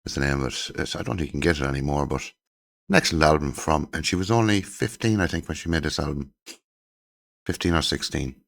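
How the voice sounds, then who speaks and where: A middle-aged man, croaky from a cold, chatting casually and with enthusiasm as he shows his CD collection. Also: rumbling low, fast. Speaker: channel host showing his CD collection. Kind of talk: casual chat to camera.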